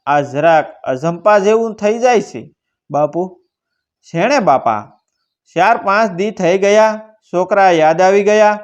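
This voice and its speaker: A man's voice: high voice, takes it slowly, pitched above the performer's own voice. Man voicing a proud, troubled Kathiawadi village bard. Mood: uneasy, deferential. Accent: Kathiawadi Gujarati. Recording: dull lo-fi recording.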